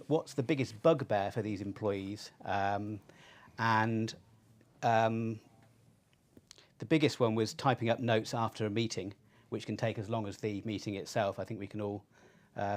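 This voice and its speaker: A middle-aged man presenting informally on a webinar, speaking haltingly with pauses. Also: lowish voice, steady tone. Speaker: panelist presenting research.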